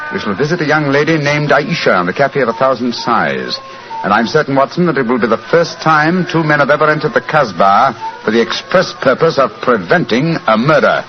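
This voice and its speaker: A man plays an urbane English gentleman detective on a muffled old radio broadcast. He talks quickly and precisely, with a lively melody and a shrewd, courteous manner. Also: mid-range voice, over music, lightly acted. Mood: grave, urgent. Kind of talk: 1940s radio drama acting.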